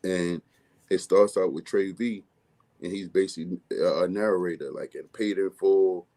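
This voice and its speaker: A man from with a deep, bass-heavy, mumbly voice, talking casually as a music artist guest in an interview. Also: steady tone, moderate pace, warm tone. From Baltimore, USA. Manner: mumbly, laid-back streetwise delivery.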